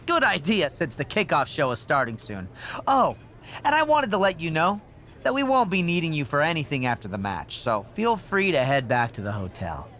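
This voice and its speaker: A man's voice: a little high, big pitch swings, moderate pace, fairly bright tone. A man playing a chirpy, overfamiliar junior TV producer in a video game, chummy, eager and officious. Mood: breezy. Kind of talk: scripted video game cutscene acting.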